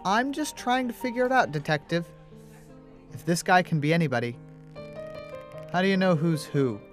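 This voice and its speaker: A man's voice: on the high side, animated, normal speed, faint music behind, lightly acted. An actor playing a mafia hitman who poses as a rookie police officer in a radio drama, slyly calculating. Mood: probing, calculating. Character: mafia hitman posing as nervous rookie cop, slyly menacing.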